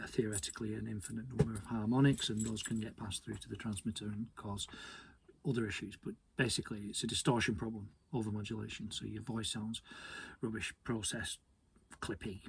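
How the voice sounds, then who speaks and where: A British man giving an informal technical explainer in a conversational, hesitant way, often repeating words, with a husky, gravelly voice. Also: lowish voice, flat delivery, a little fast, slight background noise. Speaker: hobby radio presenter.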